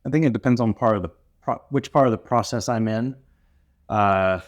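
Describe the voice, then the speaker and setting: A man in his thirties, originally from North Carolina, speaks with a deep, low voice. He answers interview questions about his work as an instrument maker in a relaxed, thoughtful way, often pausing with "uh" to gather his words. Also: lo-fi sound. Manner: easygoing, thoughtful, lots of "uh" pauses.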